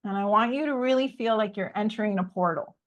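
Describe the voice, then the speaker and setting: A woman coach leading a slow, soothing guided breathing and visualization exercise over a video call. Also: medium pitch, highs cut off. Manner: soothing, slow guiding instructions.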